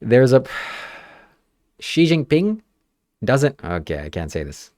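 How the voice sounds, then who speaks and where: A man's voice: medium pitch, sing-song, slow, a little mellow, no room sound, clean studio sound. A young man from Ukraine chatting casually on a podcast, given to sarcastic jokes and asides. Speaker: podcast host.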